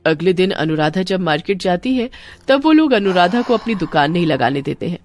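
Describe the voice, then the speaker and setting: Expressive woman storyteller, lively pitch. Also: a little fast, no room sound, faint music behind. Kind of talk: scripted story narration.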